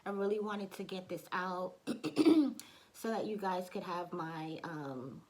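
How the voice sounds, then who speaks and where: Hoarse chatty woman reviewing fragrances. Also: fairly low pitch, fairly even intonation, a little slow. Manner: chatty, many fillers and restarts. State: hoarse from allergies, coughing. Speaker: beauty vlogger. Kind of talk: casual product review.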